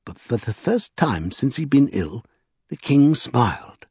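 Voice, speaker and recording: A man's voice: medium pitch, sing-song, a little slow, darkish tone, slightly rough, no echo, voice pushed up, exaggerated voice. A man voicing a bookish, thoughtful magician who lectures precisely in an audiobook. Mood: thoughtful, recounting. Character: pedantic, bookish, thoughtful male scholar magician.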